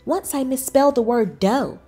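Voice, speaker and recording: A woman voicing an earnest, anxious cookie schoolchild in a children's storybook, with expressive, dramatic emphasis. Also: high voice, normal speed, slightly rough, soft background music. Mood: frustrated, downcast. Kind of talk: children's storybook read-aloud.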